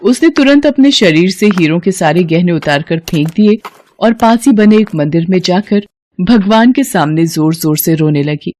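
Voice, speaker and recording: A woman giving expressive scripted narration of a children's moral story. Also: fairly low pitch, normal speed.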